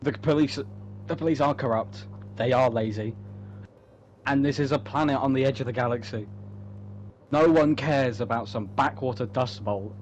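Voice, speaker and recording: A man's voice: mid-range voice, moderate pace, dull audio, slight background noise. A man chatting casually as a writer about his own story, emphatic and rambling, with some swearing. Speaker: writer of the story being read. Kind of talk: casual chat.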